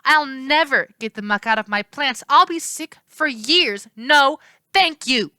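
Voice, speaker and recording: A woman's voice: high voice, measured pace, bright, forceful tone, dry recording, clean studio sound, voice pushed up. A woman voicing a feisty, indignant young female river nymph in a storybook, sassy and emphatic.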